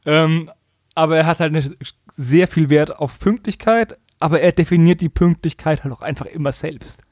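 A man's voice: on the high side, moderate pace, a little mellow, no room sound, clean studio sound. A man co-hosting a casual comedic recap podcast, slangy and mockingly acting out quoted dialogue. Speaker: podcast co-host.

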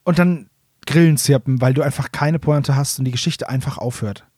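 A man's voice: medium pitch, moderate pace, very noisy. A man chatting casually and humorously as a podcast co-host, colloquial and jokey, slipping into short mock quotes. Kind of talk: casual humorous chat recapping an audio drama.

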